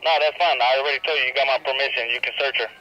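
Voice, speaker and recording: A man's voice: medium pitch, fairly even intonation, racing, sharp tone, muffled recording. A man giving casual instructions over a walkie-talkie, posing as store staff. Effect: walkie-talkie radio.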